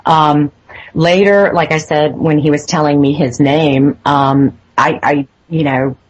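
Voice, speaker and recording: A woman's voice: deep voice, fairly even intonation, slow, slightly rough, dull audio. A woman as an interview guest, recounting a personal experience in a hesitant way with many fillers and restarts. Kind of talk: phone interview, personal account. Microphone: phone line.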